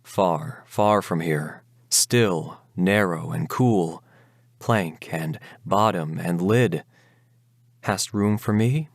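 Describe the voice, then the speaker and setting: A man's voice: deep voice, drawn-out words, dry recording, studio quality, exaggerated voice. A man voicing an undead horseman bridegroom in a Gothic ballad, urgent, commanding and ominous, with archaic, imperious speech. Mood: ominous, insistent. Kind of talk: dramatic Gothic ballad recitation.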